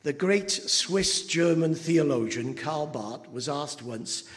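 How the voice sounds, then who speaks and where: Older man, 70s, pastor, slow halting sermon, long church reverb. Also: fairly high pitch, expressive. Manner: slow, halting with many fillers, rising to emphatic. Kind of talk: anniversary sermon with slides. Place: church hall.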